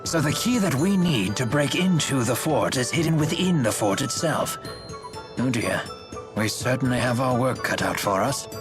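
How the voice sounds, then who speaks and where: An actor playing a courteous, wise older male steward and strategist in a game, speaking formally and with measured care. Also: medium pitch, animated, close to an everyday voice. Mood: grave, composed. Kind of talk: English game dub acting. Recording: game soundtrack music behind the voice. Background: background music.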